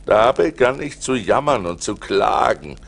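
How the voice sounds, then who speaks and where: An actor playing a dignified, seasoned seafarer with a gravelly voice, expressively recounting his adventures in a radio play. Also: on the low side, heavily acted. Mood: reflective. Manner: deliberate, expressive. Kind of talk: theatrical radio play storytelling.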